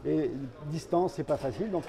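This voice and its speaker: A man speaking as a company representative in an interview, explaining products in a halting way and repeating words. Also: a little high, vocal fry, a crowd in the background.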